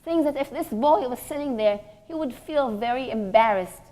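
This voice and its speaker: A woman religious teacher giving a sermon-like lecture through stories, speaking deliberately and repeating phrases for emphasis. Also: high voice, warm tone.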